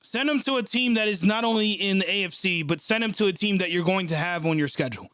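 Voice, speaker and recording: A man's voice: high-pitched, fast talker, fairly bright tone, smooth, no room sound, clean studio sound. Stuffy-nosed frustrated man streaming a game reaction. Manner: frustrated, sarcastic, swears often. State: stuffed up from a cold. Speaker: sports channel host reacting live. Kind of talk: live play-by-play reaction stream, reading chat.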